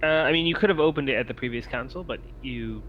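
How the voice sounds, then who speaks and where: A man's voice: medium pitch, fast, muffled recording. A man acting as game master, improvising narration and explaining rules in casual talk. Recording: faint background noise.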